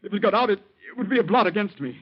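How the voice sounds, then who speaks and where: A man playing an earnest, guilt-ridden young doctor, urgent and halting, in 1940s radio drama acting. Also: high-pitched, expressive. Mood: guilty, anguished. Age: young adult. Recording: dull 1940s radio broadcast recording.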